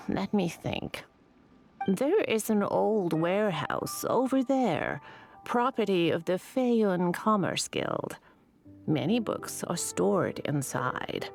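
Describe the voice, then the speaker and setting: An actress playing a gentle elderly village granny in a video game, with a creaky voice. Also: female voice, deep voice, heavily acted. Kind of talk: scripted video game voice acting.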